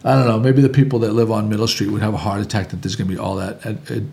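A man's voice: fairly low pitch, little pitch movement, very fast, vocal fry, darkish tone. A man in casual local talk, opinionated and rambling, often restarting his sentences.

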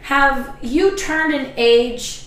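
Rambling host woman, slightly high voice, heavy room echo. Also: very slow, a bit bright. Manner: rambling, loose, many fillers. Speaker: podcast host. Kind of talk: casual chat. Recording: very echoey room.

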